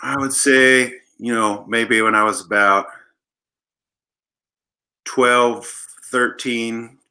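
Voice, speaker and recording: Bright-voiced joking man. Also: mid-range voice, steady tone, slow. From Los Angeles. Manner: joking, playful, rambling storyteller. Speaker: guest bassist. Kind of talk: casual interview chat.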